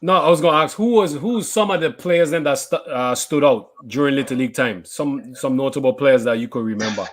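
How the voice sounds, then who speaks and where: A man's voice: medium pitch, fairly quick, hardly any pauses. A man around forty co-hosting a casual chat, laid-back and chiming in with agreement.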